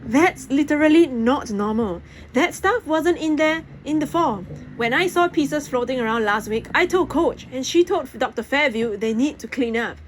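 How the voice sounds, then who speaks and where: An earnest teenage girl as a woman reader voices her in a storybook. She is worried and emphatic, at times hesitant. The recording has clear noise behind it. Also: sits high, expressive, moderate pace, voice pushed up. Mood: puzzled, concerned. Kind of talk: acted audiobook dialogue.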